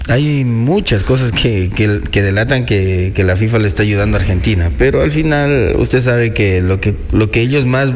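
A man co-hosting a football talk show, explaining his points haltingly with many restarts. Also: fairly low pitch, a little mellow, in a large hall. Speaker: sports talk co-host. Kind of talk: casual football debate.

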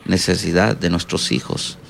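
A man's voice: sits low, level tone, normal speed, vocal fry, a little hiss or hum. A middle-aged man from Honduras, speaking as a pastor in a reflective interview and often hesitating with "eh" fillers. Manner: hesitant, frequent "eh" fillers, thoughtful and earnest.

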